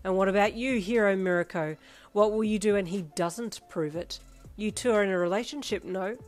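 A woman voicing a sneering husky gang boss. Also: mid-range voice, soft background music, natural voice. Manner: slow, loud and sneering. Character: sneering menacing male husky gang leader.

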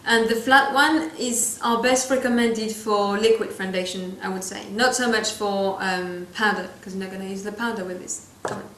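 A woman's voice: medium pitch, steady tone, normal speed, few pauses, a bit bright, reverberant, a little hiss or hum. A woman in her thirties with a French accent, giving a casual makeup tutorial to camera, with playful asides and searches for English words. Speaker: makeup vlogger. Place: small room indoors, seated.

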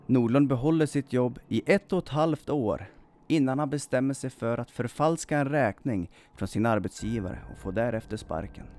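A man in his thirties reading a scripted true-crime story in a measured, dramatic storytelling manner. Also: medium pitch, darkish tone. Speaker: storyteller, channel host. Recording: music under the voice. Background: background music.

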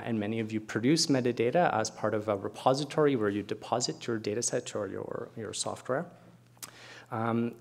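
A middle-aged man speaking as a keynote presenter at an academic conference, explaining things with conversational asides. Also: medium pitch. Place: lecture hall at a podium, indoors.

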